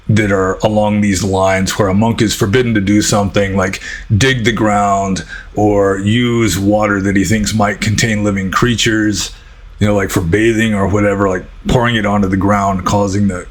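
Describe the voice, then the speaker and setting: American guy doing a casual buddhist q&a, lowish husky voice, kinda rambly. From United States. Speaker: Buddhist teacher answering viewers' questions. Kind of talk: informal question-and-answer talk.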